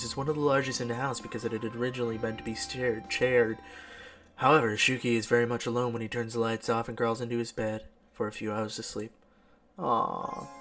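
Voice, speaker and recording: A young man hosts a casual visual novel playthrough over faint music. His voice sits at a middle pitch, and he reads aloud in a playful, self-mocking way, now and then stumbling over words. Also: fairly bright tone. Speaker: gaming video host.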